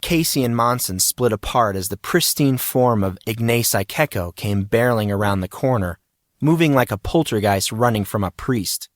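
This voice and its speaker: A man's voice: mid-range voice, animated, moderate pace, dry recording, clean studio sound. A man reading scripted story narration as an audiobook narrator.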